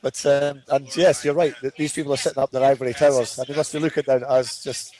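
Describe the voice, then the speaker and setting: Male host, mid-pitched voice, casual live commentary, halting delivery, repeated phrases. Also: little pitch movement, darkish tone, poor audio.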